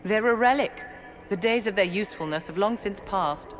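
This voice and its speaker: Man acting a high-voiced, haughty mage. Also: moderate pace, noisy background, natural voice. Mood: scornful. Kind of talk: video game voice acting.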